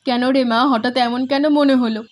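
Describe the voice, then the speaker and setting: A woman's voice: a little high, fairly even intonation, a little fast, natural voice. A woman voicing a caring village mother. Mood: motherly. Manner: gentle, motherly, hospitable. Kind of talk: animated cartoon dialogue.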